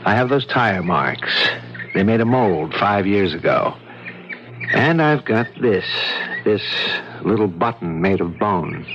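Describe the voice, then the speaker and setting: An actor playing a dogged, deliberate investigator in an old-time radio drama, with measured delivery. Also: male voice, lowish voice, animated, music in the background. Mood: grimly assured. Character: dogged, deliberate male investigator laying out evidence. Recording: muffled old radio broadcast.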